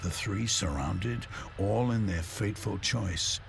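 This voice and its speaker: A grave narrator of a sci-fi audio drama, a man with a gravelly, very deep voice. His delivery is measured and solemn, with music playing behind him. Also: exaggerated voice.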